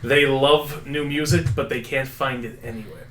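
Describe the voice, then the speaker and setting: A man's voice: medium pitch, fairly even intonation, moderate pace, a bit bright. A man playing a tabletop game, chatting casually and thinking aloud as he improvises his character's choices. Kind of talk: casual improvised roleplay chat. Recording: echoey room, background noise.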